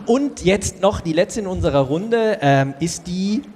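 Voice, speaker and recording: A man's voice: sits high, lively intonation, measured pace, long reverb tail. A man improvising a comedy radio play as a chatty, questioning host of a reunion show. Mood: curious, bantering. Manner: questioning, hesitant bantering. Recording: noisy live room with loud audience.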